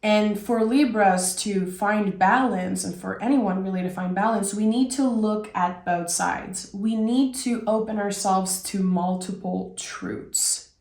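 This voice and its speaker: Raspy-voiced woman reading tarot slowly. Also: medium pitch, a little mellow, reverberant. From Québec. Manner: reflective, meandering, with fillers. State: raspy from a cold. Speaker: tarot reader. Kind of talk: casual spoken tarot reading.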